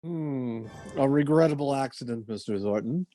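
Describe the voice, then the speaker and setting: An actor playing a calm, reassuring, matter-of-fact doctor in old-time radio drama style. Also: male voice, medium pitch, normal speed, faint music behind, natural voice.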